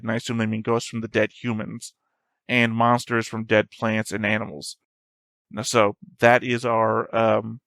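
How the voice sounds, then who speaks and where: A man's voice: mid-range voice, fairly bright tone, dry recording. A man hosting a reaction video, talking casually and rambling as he thinks aloud. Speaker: host of an anime reaction channel.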